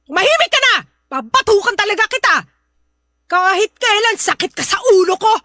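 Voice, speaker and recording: Shrill, very high-pitched scolding Filipino mother. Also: animated, fairly quick, bright, forceful tone, no echo, heavily acted. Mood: angry, scolding. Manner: scolding, exclamatory, threatening. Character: hot-tempered, nagging, gambling widowed Filipino mother. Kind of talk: melodramatic Filipino audio drama acting.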